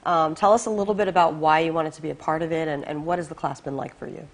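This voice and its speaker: Woman host, live studio show, lowish voice, upbeat and inviting. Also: steady tone, quick pace. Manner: upbeat, inviting viewer comments. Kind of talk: live-stream studio interview.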